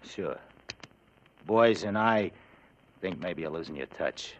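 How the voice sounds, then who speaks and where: A man's voice: low-pitched, expressive, takes it slowly. An actor playing a dim, ambitious American gangster henchman with slangy, needling tough talk in an old radio crime drama. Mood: pushy. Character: dull-witted ambitious gangster henchman, defiant. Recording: muffled old radio recording.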